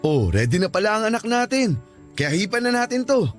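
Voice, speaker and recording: Playful, teasing, doting dad. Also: male voice, high-pitched, big pitch swings, brisk, faint music behind. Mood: cheerful, doting. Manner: teasing, affectionate. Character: playful, doting father who teases his daughter. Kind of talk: Filipino radio drama acting.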